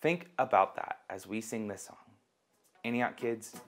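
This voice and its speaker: A man's voice: lowish voice, lively intonation, a little slow, fairly bright tone. A man in his twenties giving a children's sermon to camera in simple, encouraging language. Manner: simple, encouraging, asks listeners questions. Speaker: children's ministry host and teacher.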